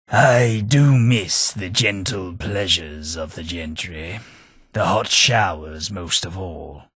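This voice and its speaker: A man's voice: low voice, pushed and bright, husky, no echo, clean studio sound, heavily acted. An actor voicing a sardonic, pompous companion figure who was once a man, speaking with wry dryness in a game. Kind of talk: video game voice acting.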